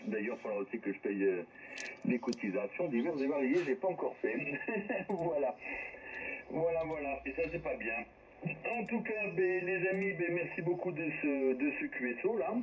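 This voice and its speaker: A man talks casually over amateur radio, hesitating and repeating himself as he goes. The transmission is dull and band-limited, with a faint layer of noise. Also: fairly high pitch. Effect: shortwave radio transmission. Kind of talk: casual ham radio chat. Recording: dull, lightly noisy radio link. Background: faint radio static.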